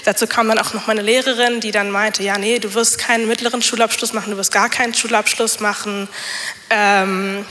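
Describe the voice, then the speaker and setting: A woman's voice: mid-range voice, level tone, brisk, sharp tone, in a large hall, slight background noise. A young woman with a slight cold giving a personal testimony in church on a handheld microphone, chatty and full of fillers. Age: young adult. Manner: chatty, many fillers, self-deprecating laughs. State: has a cold. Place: church hall.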